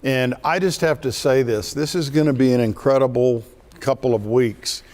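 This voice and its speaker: American guy hosting a faith show, middle-aged, eager, average pitch. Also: normal speed. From United States. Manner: enthusiastic, warm-hearted presenter. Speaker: broadcast host.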